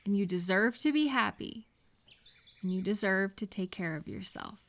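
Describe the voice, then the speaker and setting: A woman playing a supportive, gentle friend in an intimate, casual audio roleplay, speaking in a reassuring, unhurried way to the listener. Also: mid-range voice, lively intonation, lightly acted. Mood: bright, encouraging.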